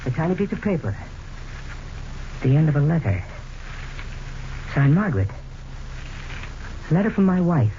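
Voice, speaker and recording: Suspicious widower, swooping dramatic delivery. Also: male voice, fairly high pitch, big pitch swings, moderate pace, long pauses, warm tone. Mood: suspicious, grim. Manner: intense, dramatic, pressing questions. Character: suspicious, bitter widower interrogating his second wife. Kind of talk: 1940s radio mystery acting. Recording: dull, faintly noisy old radio recording.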